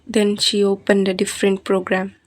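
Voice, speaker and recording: A woman narrating a scripted horror story as a podcast storyteller, with deliberate, dramatic phrasing. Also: mid-range voice, completely flat delivery.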